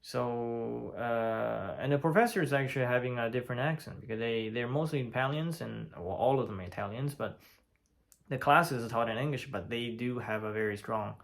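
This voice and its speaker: Gravelly-voiced Chinese man, halting vlog talk. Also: medium pitch. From China. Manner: hesitant, many fillers and restarts. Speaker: vlogger. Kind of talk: casual talk to camera. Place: lives in Italy.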